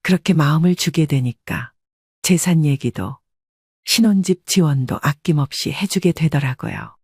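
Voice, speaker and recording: An older woman with a husky voice reads a first-person confessional story as scripted narration. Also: deep voice, normal speed, a little mellow, dry recording, studio quality.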